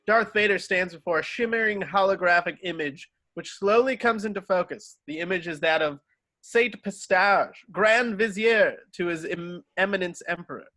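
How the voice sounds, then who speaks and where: A man's voice: high voice, moderate pace, pushed and bright. A man reading stage directions as the narrator of an amateur screenplay table read.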